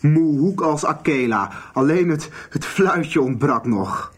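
An actor playing a sullen, critical young adult son in a radio soap. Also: male voice, on the high side, animated, takes it slowly, breathy edge, heavily acted. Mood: reproachful. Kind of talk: 1990s Dutch radio soap dialogue.

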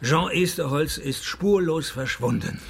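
An actor playing a dry, sardonic private detective in a radio play, deadpan, with a gravelly, husky voice. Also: male voice, fairly high pitch, a little slow, a little hiss or hum. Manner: deadpan, sarcastic. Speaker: detective narrating his own case. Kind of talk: radio play narration and dialogue.

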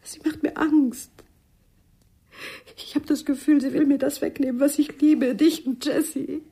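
High-voiced, jealous wife. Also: female voice, fairly even intonation, measured pace, mellow. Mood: anxious. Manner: sardonic, fretful. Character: jealous, anxious, sharp-tongued wife and mother. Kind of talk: radio play acting.

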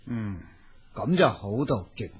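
An idealistic, genial tea grower talks in leisurely Cantonese. His voice is very husky with an animated melody. He sounds kindly and persuasive, as in a radio play. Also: on the low side, takes it slowly, full character voice. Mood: genial, hopeful. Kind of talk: Cantonese audio drama acting.

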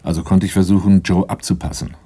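A wary, brooding first-person narrator of a radio crime play. His voice sits very low and has a soft, dark tone. He tells things in a measured, matter-of-fact way. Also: level tone, breathy edge. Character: wary handyman-driver narrating his story, calm and brooding.

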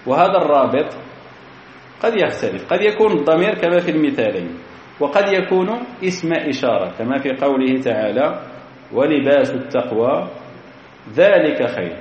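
A man's voice: medium pitch, a little mellow, slight background noise. Methodical male teacher in an echoey hall. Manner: methodical, repeats key phrases, quizzes students. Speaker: grammar teacher. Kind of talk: classroom grammar lecture. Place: lecture hall. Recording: echoey hall, dull lo-fi recording.

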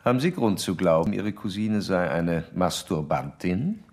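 A man's voice: low-pitched, lively intonation, darkish tone, a little hiss or hum. A man playing a self-assured, pedantic psychoanalyst in a German radio drama, speaking formally and clinically. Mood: clinical probing. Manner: formal, measured, interrogating.

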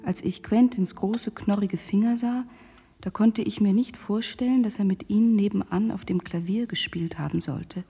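A woman's voice: mid-range voice, moderate pace, mellow, husky. A woman playing an enraptured letter writer narrating in a German radio drama, intimate and literary. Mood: fascinated unease. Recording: guitar music under the voice.

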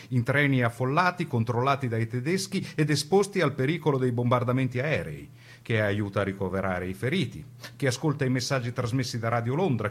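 Low, husky male documentary narrator. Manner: didactic, rhetorical, addressing the listener. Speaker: narrator of a radio documentary drama. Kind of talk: scripted historical narration.

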